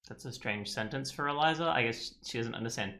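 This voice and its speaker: A man with a bright, forward voice at a middle pitch. He streams a game with casual commentary, musing out loud as he works things through. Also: a little fast, creaky. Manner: musing, thinking aloud. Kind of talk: casual let's play commentary.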